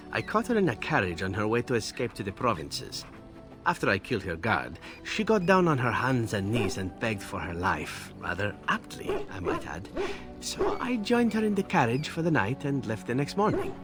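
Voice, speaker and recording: An actor playing a suave, flirtatious assassin in a fantasy video game, telling tales in a wry, teasing, theatrical way. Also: male voice, lowish voice, very animated, normal speed, music in the background. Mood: wry, suggestive. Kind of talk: video game character acting.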